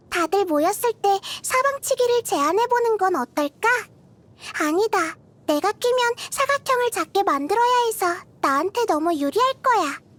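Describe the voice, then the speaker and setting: A woman voicing a tiny, childlike goddess of wisdom in a video game, cute and girlish, gentle, curious and thoughtful, musing softly. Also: very high voice. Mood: playful, curious. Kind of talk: video game character voice lines.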